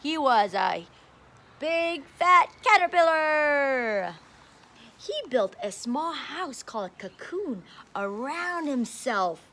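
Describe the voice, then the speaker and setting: Lady teacher doing storytime and counting w little kids, really high voice, slow n sing-songy, encouraging. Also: a bit bright. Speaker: teacher of young children. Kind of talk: animated children's read-aloud and counting lesson.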